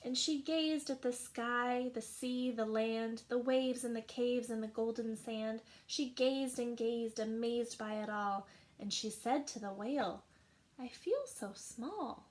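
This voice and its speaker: A woman teacher reading a rhyming picture book aloud with slow, expressive narration. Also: on the high side. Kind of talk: storybook read-aloud.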